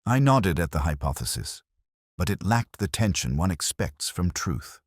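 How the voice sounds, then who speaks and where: A very low-pitched man playing a brooding detective recounts a mystery in measured, literary phrases. His intonation moves freely up and down, and the sound is dry and close. He comes across as melancholy and observant, as in an audio drama. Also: sing-song, breathy edge, no echo, exaggerated voice. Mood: brooding, reflective. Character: elderly reflective male police detective, melancholy and observant. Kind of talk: first-person scripted mystery narration.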